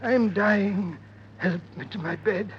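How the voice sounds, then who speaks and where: An actor playing a frail, urgent old priest in a prison, with a thin, strained voice, in a classic radio drama. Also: male voice, high voice, measured pace. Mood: weak, urgent. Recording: muffled, noisy old radio recording.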